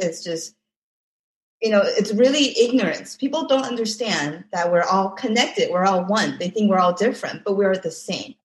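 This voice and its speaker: Reflective woman in a roomy space. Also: medium pitch. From Taiwan. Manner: reflective, laughs softly between thoughts, repeats phrases for emphasis. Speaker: guest. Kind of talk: casual interview conversation. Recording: roomy echo.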